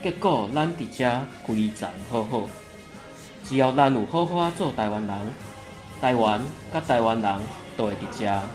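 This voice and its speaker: A man's voice: mid-range voice, expressive, normal speed, a little mellow, faint music behind, natural voice. A man playing a watchful deity who narrates a folk legend in a scripted storytelling style. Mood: storytelling, assured.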